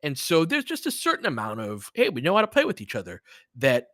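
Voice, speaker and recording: Bright-voiced man casually commentating on basketball. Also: on the high side, huge pitch swings, fairly quick, no room sound, clean studio sound. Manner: conversational, chatting back to listeners, mildly profane. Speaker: host of a live sports play-by-play stream. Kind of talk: casual sports commentary and analysis.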